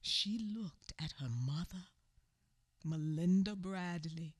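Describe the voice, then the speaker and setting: A woman narrating her grandmother's story in a radio theatre play, measured and solemn. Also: fairly low pitch, slightly rough, dry recording, clean studio sound.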